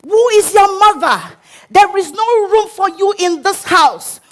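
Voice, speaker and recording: A shrill, furious middle-aged housewife, her voice pitched high and thrown loud as she drives a stranger from her door, as a woman acts her out on stage. Every phrase comes as an indignant shout. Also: expressive, measured pace, full character voice.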